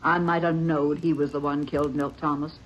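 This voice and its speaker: A woman's voice: low-pitched, flat delivery, normal speed, a little hiss or hum, natural voice. An actress playing a plainspoken rural stepmother in an old radio drama, talking in a drawling country way. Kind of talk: 1950s radio drama acting.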